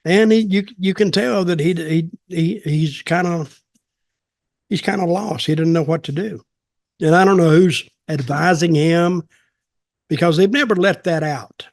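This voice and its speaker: A man in his seventies with a slightly high-set voice talks casually on a podcast. He rambles through opinions in a blunt, folksy way. The sound is dry, with very little room in it. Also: measured pace, dry recording. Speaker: podcast co-host and wrestling storyteller. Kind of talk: casual chat, opinionated commentary. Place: small home office, video call.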